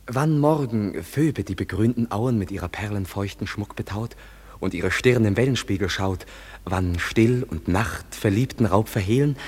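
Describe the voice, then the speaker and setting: An actor playing an earnest, ardent young lover in a classical verse radio drama, declaiming solemnly and poetically. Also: male voice, lowish voice, moderate pace, darkish tone, slightly rough. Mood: earnest, urging. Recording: vintage radio play, long reverb, music behind.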